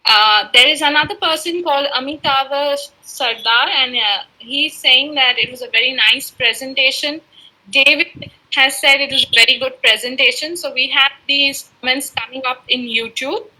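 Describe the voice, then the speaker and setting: High, bright-voiced woman moderator. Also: normal speed, rough recording. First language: Urdu. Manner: courteous, deferential, addresses the speaker as sir. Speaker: webinar moderator. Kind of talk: polite formal moderation.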